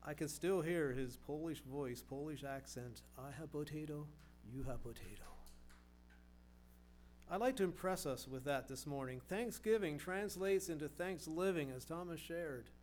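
A middle-aged male preacher delivers a sermon in an earnest tone, posing rhetorical questions and repeating words as he builds his points. His voice sits a little higher than most men's, with a faint hum underneath. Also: normal speed, hardly any pauses, darkish tone.

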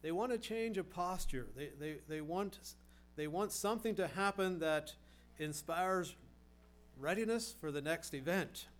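A man's voice: sits high, a little slow. A middle-aged man preaching a sermon, earnest, asking rhetorical questions and often repeating words as he goes.

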